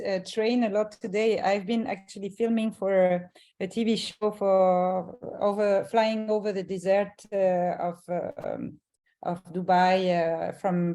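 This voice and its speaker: A woman who is a base jumper and a panel guest, speaking in a hesitant, halting way. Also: medium pitch, mellow.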